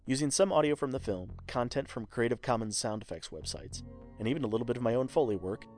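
A man giving scripted narration as a podcast host and storyteller. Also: mid-range voice. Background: faint music.

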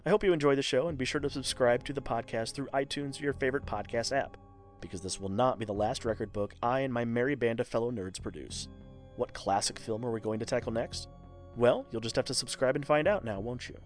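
A man's voice: mid-range voice, fairly quick. A man giving scripted narration as a podcast host and storyteller. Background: faint music.

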